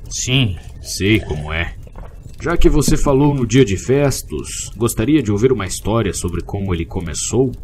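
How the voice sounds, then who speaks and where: Low-voiced old innkeeper storyteller played by a man. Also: lively intonation, moderate pace, a little mellow, slight background noise, close to an everyday voice. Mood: solemn storytelling. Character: kindly lonely old innkeeper telling tales by the fire. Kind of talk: dramatic fairy-tale narration in an audio drama.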